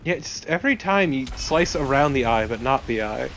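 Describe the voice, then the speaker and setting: A man's voice: mid-range voice, expressive, normal speed, fairly bright tone, faint music behind. A man co-commentating on a video game in a casual, wry, teasing way. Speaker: co-commentator watching the gameplay. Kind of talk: casual gaming chat.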